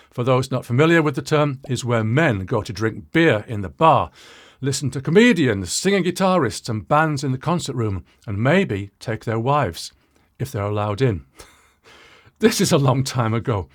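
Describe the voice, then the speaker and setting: A British man in his sixties telling a story to viewers as a presenter, in a wry, self-deprecating way with dramatic pauses and asides. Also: mid-range voice, expressive. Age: 60s. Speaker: presenter of an audio channel.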